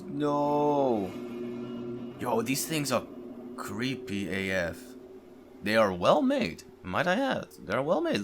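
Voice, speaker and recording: A man's voice: a little high, a bit bright, slight background noise. A man hosting a gaming video, reacting aloud in casual commentary with exclamations and musing questions.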